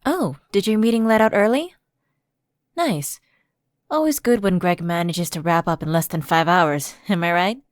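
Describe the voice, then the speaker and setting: A woman plays an evasive office assistant who is really a fallen angel in hiding. Her voice sits a little low and is recorded close and dry, with a coaxing, conversational ASMR roleplay delivery. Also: normal speed, no room sound, close to an everyday voice. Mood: chipper, casual. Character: chipper female personal assistant, secretly a fallen angel, evasive.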